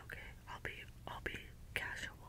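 A woman playing a gentle, earnest robot helper in an ASMR role play, soft, soothing and slightly stilted. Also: normal speed, lightly acted. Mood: gentle, curious. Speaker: ASMR performer. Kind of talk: whispered ASMR personal-attention role play.

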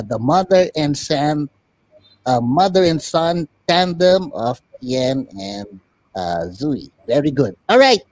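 A man's voice: fairly high pitch, darkish tone, no echo. A man voicing a chatty, curious boy puppet who hosts a casual interview show.

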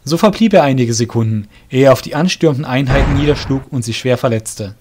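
A man narrating a scripted fantasy audio drama in a measured storybook manner. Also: mid-range voice, background noise.

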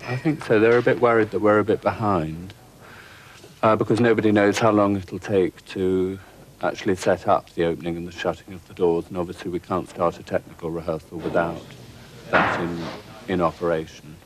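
A man's voice: sits low, steady tone, fairly quick. A man in his thirties, a stage designer, talking casually in a documentary interview. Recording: clearly noisy theatre interview.

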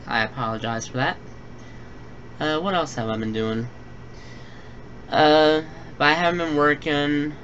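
A man's voice: medium pitch, pushed and bright. A young man hosting a gaming channel, talking through a build tutorial and then a casual personal update with many fillers, recorded at home. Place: home room. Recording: dull lo-fi home recording with faint hiss.

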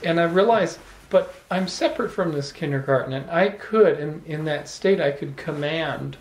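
A middle-aged man telling an animated, playful story to a small audience, holding a handheld microphone. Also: a little high, normal speed. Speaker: salon speaker. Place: room with small live audience. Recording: echoey room.

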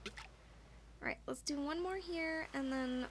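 High-voiced woman musing through a game. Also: takes it slowly, slight background noise. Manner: musing aloud to herself. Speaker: streamer playing a farming game. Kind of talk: casual let's play commentary. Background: game music.

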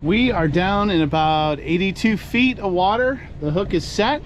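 A man casually narrating his sailing trip from aboard his boat, in a relaxed, chatty way. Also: high voice, normal speed. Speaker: sailing vlogger. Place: outdoors on a sailboat at anchor. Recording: noisy outdoor boat recording.

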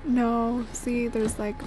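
A woman's voice: fairly high pitch, level tone, drawn-out words, noisy background. A young woman giving casual, murmured commentary as she plays a game. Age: young adult. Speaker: gaming streamer. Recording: loud game sound behind the voice.

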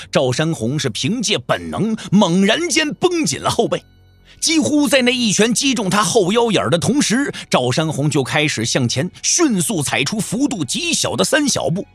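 A male narrator tells a martial-arts tale with theatrical emphasis. His voice sits slightly high and moves widely in pitch, drawing out key endings, in a dry studio sound. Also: huge pitch swings, normal speed, slightly rough, dry recording, soft background music. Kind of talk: dramatic scripted audiobook narration. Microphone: close studio microphone.

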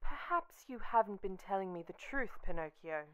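A woman playing a gentle, motherly fairy who moralises in a measured way in a children's radio play. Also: mid-range voice, expressive, clean tone, close to an everyday voice, rough recording. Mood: gently chiding. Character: gentle, gravely admonishing motherly Blue Fairy.

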